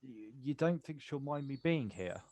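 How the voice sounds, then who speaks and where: An actor playing a guarded but kind poet in a stage play, questioning and prone to stammer when unsure. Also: male voice, mid-range voice, animated, normal speed, darkish tone, no room sound, natural voice. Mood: amused, gentle. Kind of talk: stage play acting, quiet two-hander.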